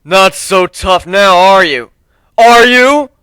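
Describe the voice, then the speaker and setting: Teenage school bully played by a male reader: loutish, slow mocking drawl, higher pitch, forceful, dramatic audio story. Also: smooth, no room sound, heavily acted. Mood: mocking taunts. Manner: drawn-out, loud, taunting delivery.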